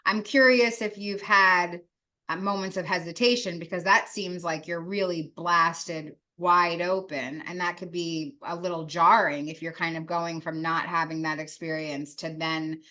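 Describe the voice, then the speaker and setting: A woman interviewer asking gentle, searching questions with halting starts, over a video call. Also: on the low side, fairly even intonation.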